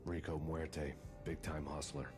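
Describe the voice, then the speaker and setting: An actor playing a brooding, world-weary cop who narrates his own story in a deadpan, terse film noir style. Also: a bass voice, a little slow. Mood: grim, deadpan. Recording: music behind the voice.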